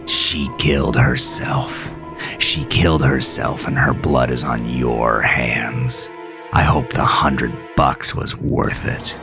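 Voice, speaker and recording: A man performs a wronged husband in a horror audio drama, consumed by rage and grief. He rants in anguished accusation, bitter and vengeful, over clearly audible music. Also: very deep voice, fairly even intonation, slow, voice pushed down, exaggerated voice. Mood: menacing grief. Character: enraged blood-soaked grieving husband, vengeful and accusing.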